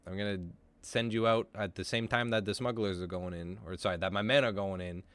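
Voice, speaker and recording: Smuggler boss woman, coarse and pushy, low-pitched, dry sound; a man plays her in his own voice. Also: steady tone, a little fast, fairly bright tone, smooth, no room sound, lightly acted. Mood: brash scheming. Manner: swears often, brash and pushy. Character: foul-mouthed female smuggling boss, brash.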